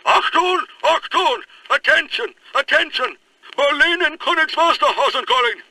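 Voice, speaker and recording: A man's voice: extremely high pitch, moderate pace, bright, forceful tone, no room sound, heavily acted, poor audio. A man playing an emphatic, urgent radio announcer reading a dramatized 1930s news bulletin. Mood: urgent alarm. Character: urgent, alarmed German radio announcer breaking in.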